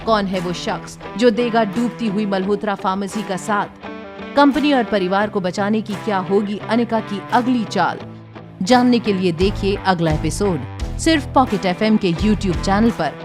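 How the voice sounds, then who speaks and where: A woman's voice: mid-range voice, normal speed. Woman promo announcer over guitar music.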